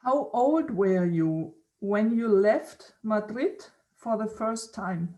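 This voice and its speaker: A woman interviewer on a video call who speaks haltingly, repeating words and laughing often. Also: mid-range voice, expressive, mellow. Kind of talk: casual conversation.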